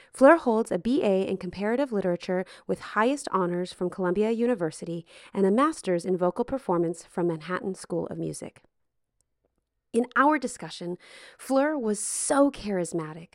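A woman's voice: mid-range voice, no room sound. Enthusiastic woman host, scripted intro, dry and close. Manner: enthusiastic, effusive. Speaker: podcast host. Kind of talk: scripted introduction, then casual interview.

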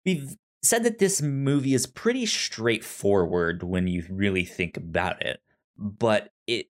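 Male podcast co-host, slow and halting, lively pitch movement, frequent stutter. Thoughtful, casual film talk. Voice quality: frequent stutter, repeating sounds and syllables.

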